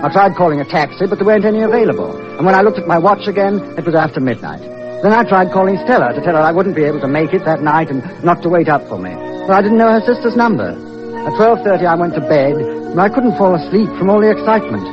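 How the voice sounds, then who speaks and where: An actor playing an anxious, excitable man who runs a rooming house, in an old-time radio thriller. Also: sits high, fast talker. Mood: troubled, narrating. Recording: dull old radio recording, music behind.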